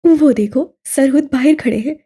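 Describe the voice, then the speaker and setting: A woman voicing eager, teasing young women chatting among friends in an audio novel, with an animated manner. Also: high-pitched, normal speed.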